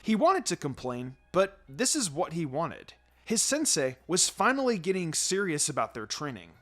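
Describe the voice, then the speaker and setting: A man reading a story aloud as an expressive narrator. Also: on the high side, normal speed. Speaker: fan fiction narrator. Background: background music.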